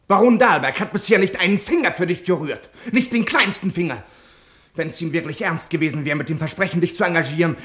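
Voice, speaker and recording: A man's voice: high-pitched, a bit bright. An actor playing a skeptical, cautious male friend in a radio drama, pressing with urgent questions. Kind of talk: 1950s-style radio drama acting.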